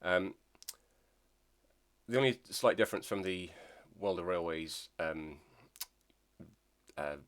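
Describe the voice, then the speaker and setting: A man's voice: low voice, slow, long pauses. A man narrating a model railway build video in a casual, hesitant way with many ums, sounding nasal from a cold. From Scotland.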